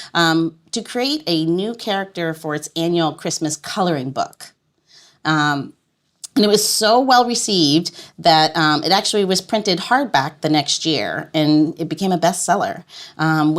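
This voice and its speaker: A woman hosting a podcast in a casual, playful chat, laughing often. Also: lowish voice. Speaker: podcast host.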